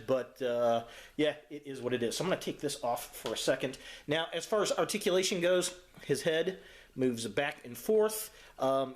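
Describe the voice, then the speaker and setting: A man hosting a casual toy review, talking in an informal, chatty, slangy way. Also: fairly high pitch. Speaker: host of a toy review channel. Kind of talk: casual unboxing review commentary. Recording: roomy, echoey sound.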